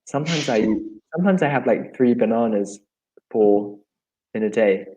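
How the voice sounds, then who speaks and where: A man's voice: mid-range voice, expressive, warm tone, slight background noise, rough recording. A man co-hosting a casual online English lesson, chatty and encouraging toward viewers. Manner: chatty, encouraging, quick to agree. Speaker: English teacher co-host.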